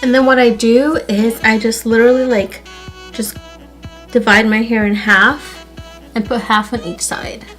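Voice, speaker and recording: A woman's voice: fairly high pitch, steady tone, a little slow, fairly bright tone, soft background music. A woman with a stuffy nose chatting casually as she walks through a hair tutorial for her channel. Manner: chatty, friendly, repeats "literally" and "like". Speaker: beauty YouTuber.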